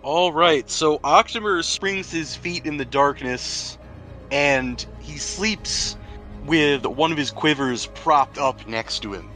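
A man's voice: a little high, slow, sharp tone. A man speaking casually as game master, running and narrating a tabletop roleplaying game. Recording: background music under the voice. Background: music.

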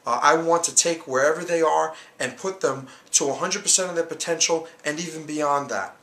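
A man's voice: fairly high pitch, moderate pace, fairly bright tone, echoey room. A man in his thirties with a New York accent, a martial arts instructor coaching beginners straight to camera in an earnest, emphatic way. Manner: earnest, emphatic, gestures with hands. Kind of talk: direct-to-camera coaching talk. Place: small indoor studio, whiteboard behind.

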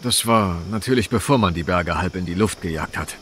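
A young park ranger in a narrative video game, steady and encouraging. His voice is lowish and crisp-edged, with a casual, supportive delivery. Faint insect sounds sit behind him. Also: brisk, slight background noise. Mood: wry, nostalgic. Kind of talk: dubbed video game acting.

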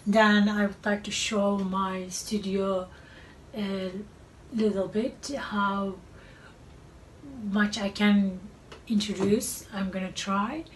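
A middle-aged woman with a Turkish accent, originally from Turkey and a native Turkish speaker, giving a presentation about her work as an artist. She speaks slowly and haltingly and often pauses to search for words. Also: medium pitch, level tone. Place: cluttered art studio. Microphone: far camera. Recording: distant mic, faint room noise.

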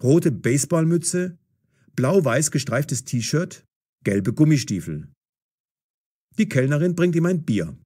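A man reads a story aloud with lively, varied pitch. His voice has a husky edge, and he phrases each sentence deliberately, as a scripted audiobook narrator does.

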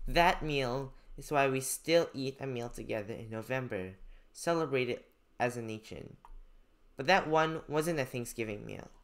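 A young adult man reading a novel aloud like a student, with informal commentary and some halting stumbles. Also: mid-range voice, fairly bright tone. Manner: haltingly stumbles over unfamiliar names. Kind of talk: read-aloud with informal note-taking commentary.

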